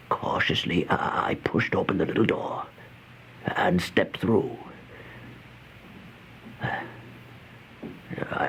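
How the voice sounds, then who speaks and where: Slow, haunted, starving housebreaker. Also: male voice, mid-range voice, heavily acted. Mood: wary, faint. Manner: halting, stammering, breathy dramatic delivery with gasps and whispers. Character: starving, feeble, once-proud male vagrant turned housebreaker, haunted and fearful. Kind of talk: old-time radio horror monologue. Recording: noisy old radio drama recording.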